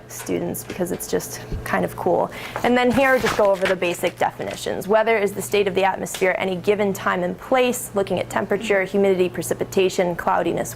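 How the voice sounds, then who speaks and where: A woman's voice: medium pitch, gravelly, a little hiss or hum, low-quality recording. A woman presenting to an audience, explaining with friendly asides and self-corrections. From Minnesota. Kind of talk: public talk.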